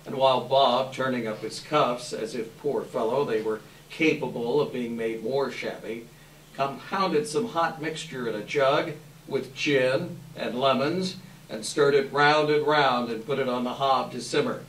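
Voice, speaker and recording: A man giving an expressive live dramatic reading of a classic story as narrator, with measured phrasing. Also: medium pitch, a little hiss or hum. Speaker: narrator, readers theater volunteer. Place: live event room. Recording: echoey room, distant microphone.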